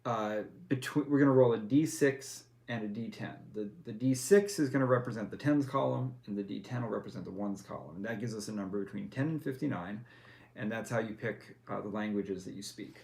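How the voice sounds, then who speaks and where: A man's voice: on the low side, brisk, vocal fry, a little mellow. A man walking a player through tabletop character creation, patient and full of fillers and self-corrections. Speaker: game master. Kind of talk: explaining game rules step by step.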